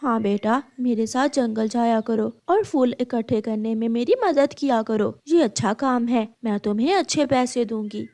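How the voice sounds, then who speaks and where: A woman's voice: a little high, moderate pace, hardly any pauses, darkish tone, dry recording, clean studio sound, lightly acted. A woman voicing a helpful bulbul bird auntie in a cartoon story, kindly and coaxing. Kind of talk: cartoon story character dialogue.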